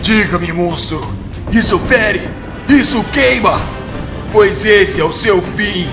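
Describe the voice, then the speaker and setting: A man's voice: sits high, takes it slowly. A man playing an earnest, defiant superhero in a motion comic dub, with intense declamatory delivery. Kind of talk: dramatic motion comic dubbing. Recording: echoey hall reverb, loud music underneath.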